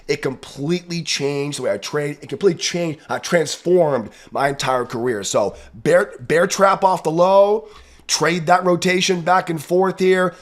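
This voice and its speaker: A man with a raspy, hoarse voice from a head cold explains chart setups as a trading educator. His voice sits fairly high for a man. He talks emphatically and keeps checking in with "right?". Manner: emphatic, repeats "right?" for confirmation. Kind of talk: chart walkthrough commentary.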